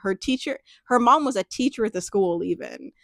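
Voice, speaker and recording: A woman's voice: mid-range voice, lively intonation, normal speed, gravelly, no room sound. Candid young woman, dry close sound. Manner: candid, thoughtful, many "like" fillers with soft laughs. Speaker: personal storyteller. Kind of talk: reflective personal monologue.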